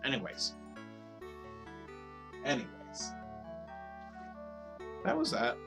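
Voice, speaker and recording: Slightly hoarse man streaming, rambling chat. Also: medium pitch, wildly swooping pitch, extremely slow, music in the background. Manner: rambling, filler-heavy, repeats words. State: slightly hoarse, losing his voice. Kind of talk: casual live-stream chat over gameplay.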